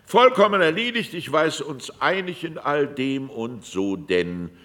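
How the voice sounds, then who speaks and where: An actor in a German radio drama playing an imposing, commanding old magnate who talks pompously in halting, broken-off phrases. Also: male voice, a little high, expressive, pushed and bright. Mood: grandiose, commanding.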